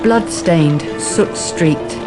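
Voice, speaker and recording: A woman's voice: fairly low pitch, extremely slow, poor audio. A woman reading scripted narration for a radio drama. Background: music.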